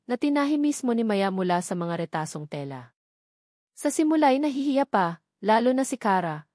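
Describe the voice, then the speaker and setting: A woman narrates a sentimental romance from a script. Her voice sits at an ordinary middle pitch, and the recording is very dry, with little room sound around it. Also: a little mellow, dry recording. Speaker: story narrator.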